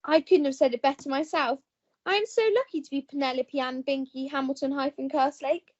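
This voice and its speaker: A plucky young society heroine acted by a woman in a radio melodrama. She gushes in a high voice with jolly, old-fashioned phrasing, and the recording is slightly dull. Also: brisk, natural voice. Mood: blissful. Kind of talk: melodramatic radio play acting.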